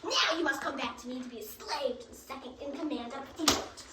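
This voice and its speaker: A woman's voice: sits high, quick pace, natural voice. A young-sounding woman playing male roles, a father and a servant, in amateur readers' theatre. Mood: taunting. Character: old father and taunting servant, both male. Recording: distant echoey room, noisy.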